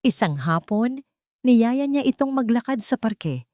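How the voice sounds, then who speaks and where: A woman's voice: a little high, animated, a little slow, mellow, no room sound, clean studio sound. A woman narrating a scripted, dramatic romance story.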